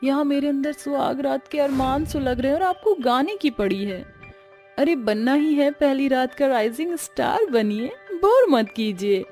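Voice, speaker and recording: Woman as young daughter-in-law, very high voice, coy, pouty, expressive, cartoon melodrama. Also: a little slow, soft background music. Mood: annoyed, pouting. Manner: high, expressive, pouting delivery. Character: young impatient new bride, coy, romantic, dutiful daughter-in-law.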